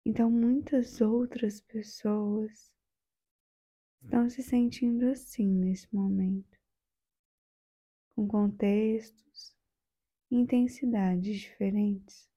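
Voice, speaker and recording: A woman with a Brazilian accent leading a guided meditation in a soft, soothing, gentle way, leaving long pauses. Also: mid-range voice, steady tone, slightly rough.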